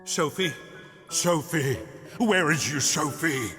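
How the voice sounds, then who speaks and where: An actor playing a tender, kindly old giant, soothing in quaint mangled grammar, in a dramatized audiobook. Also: male voice, fairly high pitch, slow, pushed and bright, big-room sound, soft background music. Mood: worried, relieved.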